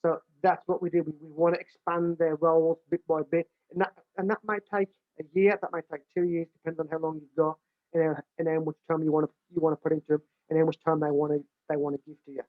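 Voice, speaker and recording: A man's voice: on the high side, little pitch movement, fast, no room sound. British male coach, big voice, plain-spoken, repeats himself, dry and thin phone-quality sound. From United Kingdom. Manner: restarts and repeats words often, plain-spoken. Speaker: American football coach. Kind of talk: casual explanatory talk. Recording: dry, tinny phone-like line.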